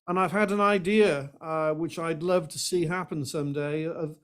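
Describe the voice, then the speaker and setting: A man's voice: a little high, fairly even intonation, normal speed, fairly bright tone, clear, resonant tone. An older man of about seventy with a Welsh accent, introducing himself conversationally as an interview guest. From Wales. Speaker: guest, singer-songwriter and activist.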